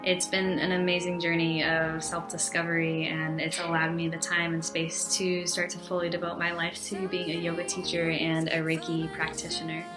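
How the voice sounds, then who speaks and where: An American woman chats casually as if to a video diary. Her voice sits fairly low and stays quite flat, with music playing clearly behind it. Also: normal speed, few pauses, poor audio. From United States. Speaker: yoga teacher and Reiki practitioner.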